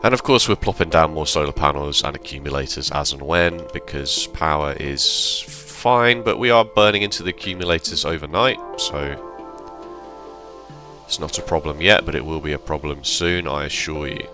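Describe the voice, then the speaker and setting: Very deep-voiced man, slightly hoarse. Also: moderate pace, music in the background. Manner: relaxed, rambling with self-corrections. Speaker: let's play host. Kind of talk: casual gameplay commentary.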